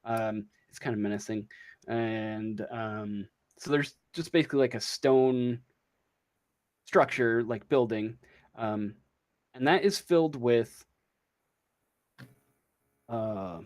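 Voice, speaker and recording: A man speaking as game master in casual tabletop game talk, halting and searching for words as he goes. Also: medium pitch, a little mellow.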